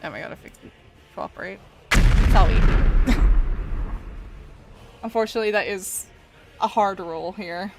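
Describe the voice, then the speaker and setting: A woman's voice: medium pitch, normal speed, pauses often, loud music behind the voice. A woman in her twenties from Scotland with a mixed Scottish and Canadian accent, chatting casually on a drawing stream, laughing often as she answers chat. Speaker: art streamer.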